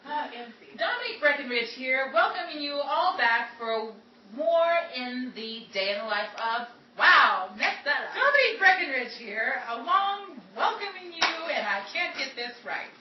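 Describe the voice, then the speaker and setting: A woman's voice: high voice, sing-song, moderate pace, lo-fi sound. A woman who performs and hosts, chatting casually off script between takes. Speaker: performer and host of the show. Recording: echoey room, distant mic, noisy background.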